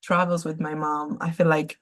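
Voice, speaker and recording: A woman from Italy whose first language is Italian, speaking English as a guest in a relaxed, open conversational interview and laughing easily. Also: low-pitched, normal speed. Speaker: podcast guest.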